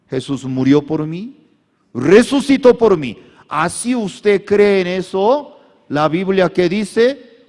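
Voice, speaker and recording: A man's voice: high voice, darkish tone. A male pastor preaching a sermon in simplified, non-native Spanish, calling on the congregation to repeat after him and raise their hands. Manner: preaching with call-and-response to the congregation. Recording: echoey hall.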